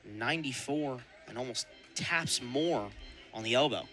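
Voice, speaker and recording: A young man calling baseball play-by-play for radio in crisp announcer phrasing with punched-up calls. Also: mid-range voice, measured pace, pushed and bright, soft background music. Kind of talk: live radio sports commentary.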